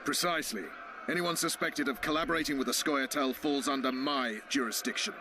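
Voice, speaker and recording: An actor playing an authoritative royal officer in a video game, curt, clipped and official. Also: male voice, mid-range voice, brisk, noisy background, lightly acted. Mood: cold authority.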